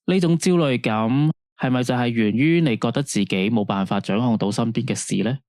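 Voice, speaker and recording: A man's voice: mid-range voice, fairly quick, darkish tone, dry recording, studio quality, lightly acted. A man with a Hong Kong Cantonese accent playing a late-night radio host who talks a caller through her troubles in a patient, soothing way. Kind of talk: radio drama acting.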